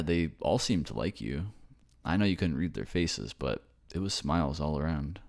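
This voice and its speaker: A man's voice: rumbling low, vocal fry, a little mellow, exaggerated voice. A man playing an affectionate, reassuring boyfriend from a ghost family in a casual ASMR-style roleplay. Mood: relieved, teasing.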